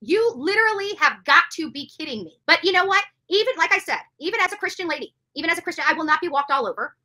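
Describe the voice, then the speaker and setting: High, bright-voiced indignant woman livestreamer. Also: expressive, fast talker. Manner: emphatic, repeats phrases for stress. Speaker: livestream host. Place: bedroom, indoors.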